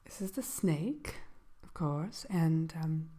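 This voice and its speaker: A woman playing a composed dark sorceress who gives a soft-spoken ASMR seminar in a hesitant, measured, genteel manner. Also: deep voice, expressive, slight background noise. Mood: composed, matter-of-fact. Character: composed dark sorceress lecturing on magical animal companions. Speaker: seminar presenter.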